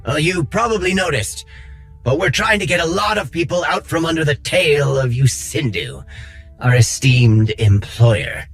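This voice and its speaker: Scrappy game rebel, earnest, informal, husky, high-set lively voice. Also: male voice, a little slow, faint music behind, heavily acted. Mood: earnest, persuasive. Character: earnest, quick-thinking male rebel worker leading an escape. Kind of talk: video game cutscene acting.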